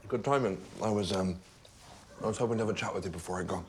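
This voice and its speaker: An actor playing a self-absorbed, evasive boyfriend in a dark comedy film, speaking with hesitant, formal politeness in a naturalistic way. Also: male voice, lowish voice, fast, background noise, natural voice. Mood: politely detached.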